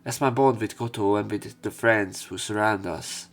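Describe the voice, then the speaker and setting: A man's voice: lowish voice, moderate pace. A man reading visual novel story text aloud as a let's play narrator, with some commentary. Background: game music.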